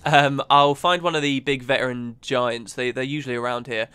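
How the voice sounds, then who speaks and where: Fast-talking man with a head cold. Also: medium pitch, smooth, no echo. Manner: relaxed and chatty, explaining step by step. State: has a cold, sniffly. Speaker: gaming channel host. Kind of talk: gameplay guide commentary.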